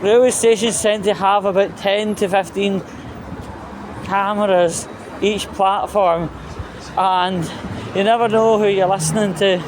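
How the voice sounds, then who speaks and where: A Scottish man giving a blunt, sweary, aggrieved monologue in halting phrases, like a casual vlogger's rant. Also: high-pitched, fairly even intonation. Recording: clear traffic and outdoor noise.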